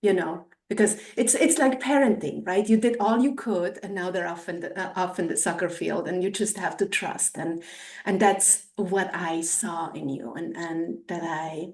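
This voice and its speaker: A woman's voice: on the low side, steady tone, moderate pace, a little mellow. A woman hosting a podcast, recorded in an echoing space at some distance from the mic. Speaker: podcast host. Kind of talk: scripted introduction, then casual conversation. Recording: roomy echo, distant from mic.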